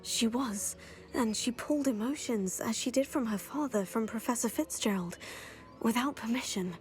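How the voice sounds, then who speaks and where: Woman voicing young witch student in a game, highish voice, earnest, well spoken n composed, faint music. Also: moderate pace, lightly acted. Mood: explaining, composed. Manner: articulate, polite, composed. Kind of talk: scripted video game acting.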